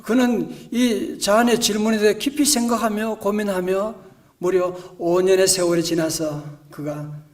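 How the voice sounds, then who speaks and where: A male pastor preaching a storytelling sermon in a church, moving between hushed and emphatic delivery. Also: high-pitched, steady tone, a little slow, recorded from a distance. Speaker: senior pastor. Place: church sanctuary.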